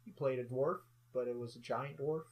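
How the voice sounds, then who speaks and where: A man's voice: mid-range voice, moderate pace, a little mellow, rough recording. A man co-hosting a casual film review, joking and slipping into silly mocking voices for the characters. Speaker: co-host of a movie review show.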